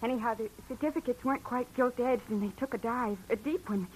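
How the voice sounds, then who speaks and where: A woman plays a frightened young woman in an old radio drama, her voice a bit high. She stammers and pleads hesitantly. A faint hiss runs under the broadcast. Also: brisk, close to an everyday voice, rough recording. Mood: nervous. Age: young adult. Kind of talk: 1940s radio drama acting.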